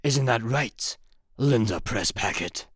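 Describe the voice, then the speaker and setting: Phony hero turned mastermind, smug n deadpan, radio comedy, dry studio sound. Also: male voice, medium pitch, slow, husky, no room sound. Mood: smug, unapologetic. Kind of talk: comic sci-fi radio play acting.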